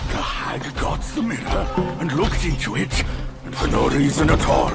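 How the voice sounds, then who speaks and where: A man playing a grumbling, chattering demon servant with a creaky voice in an animated fantasy game. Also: on the high side, moderate pace. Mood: gossipy. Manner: complaining, chattering. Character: grumbling, gossipy male demon servant porter. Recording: loud music behind the voice.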